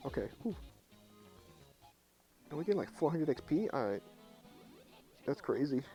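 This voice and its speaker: A young man with a low voice, talking quietly and casually to his chat at night while he plays a game. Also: big pitch swings, a little slow, poor audio. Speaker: streamer. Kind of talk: casual live game commentary. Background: faint game music.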